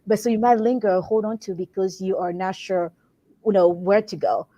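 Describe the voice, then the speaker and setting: Guest: woman from Congo, warm dark tone, earnest and reflective, restarts phrases. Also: mid-range voice, steady tone, normal speed, highs cut off. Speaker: guest, workplace wellbeing consultant. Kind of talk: conversational interview answer.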